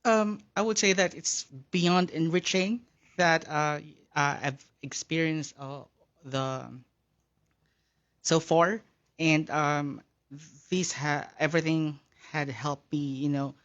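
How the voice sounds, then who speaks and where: A man from the Philippines, a high school English teacher, speaking as a radio guest with many pauses and fillers. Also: steady tone, fairly bright tone, muffled recording.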